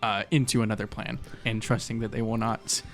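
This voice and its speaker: A man's voice: on the low side, little pitch movement, brisk, faint music behind. Man joking around as a tabletop player. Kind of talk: casual joking in-character table talk.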